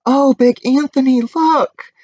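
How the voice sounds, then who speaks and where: Man voicing excitable villagers, high and loud. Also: slow, dry recording, muffled recording, exaggerated voice. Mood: alarmed. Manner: high, loud, exclamatory cries. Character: excitable crowd of alarmed village townspeople.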